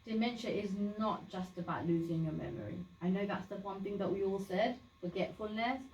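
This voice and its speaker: A woman's voice: medium pitch, a little fast, darkish tone, dull audio. A woman whose first language is Sylheti, giving an informal talk to a community group and explaining things plainly with lots of fillers and restarts. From Bangladesh. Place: community meeting room. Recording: echoey room, voice from a distance.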